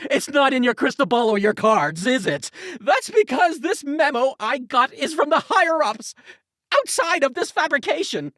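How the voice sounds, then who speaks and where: A woman's voice: sits high, very animated, moderate pace, fairly bright tone, no room sound, clean studio sound. A woman playing a fretful, exasperated and melodramatic messenger of fate in a comic audio drama, theatrical in delivery. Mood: smug, urgent. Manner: theatrical, swooping.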